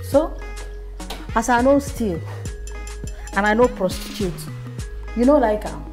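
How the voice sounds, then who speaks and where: A high-voiced, animated young Nigerian woman in a film drama. She is a bossy, proud mechanic who speaks assertively and slips into Pidgin, with music behind her. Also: slow pace. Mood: indignant. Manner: outspoken, assertive, mixes in Pidgin.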